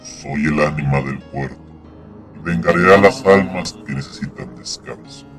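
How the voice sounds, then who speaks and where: A man voicing a grim avenging ghost in a horror audio story, speaking slowly and solemnly with menace. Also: very deep voice, pitched below the performer's own voice. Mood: menacing. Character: grim avenging male ghost, the spectre of the port. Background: loud music.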